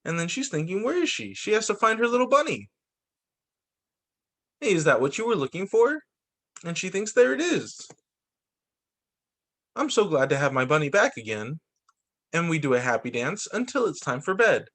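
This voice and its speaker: Animated teacher reading a children's story aloud. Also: mid-range voice, normal speed, pauses often. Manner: expressive, acting out characters' lines. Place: remote video story time for distance learning.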